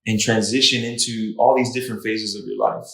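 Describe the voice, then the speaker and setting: A man's voice: fairly low pitch, fairly even intonation, vocal fry, fairly bright tone. A man who works as a fitness trainer, chatting on a podcast in an enthusiastic, encouraging way. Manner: enthusiastic, encouraging, quick to affirm. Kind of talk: casual chat. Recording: echoey room.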